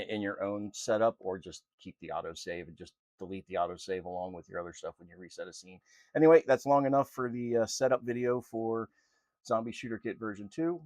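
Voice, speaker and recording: A man with a lowish voice presents an informal software tutorial. He goes step by step in a halting, unscripted way. The sound is dry, with very little room in it. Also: creaky, dry recording. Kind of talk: unscripted screen-recorded walkthrough.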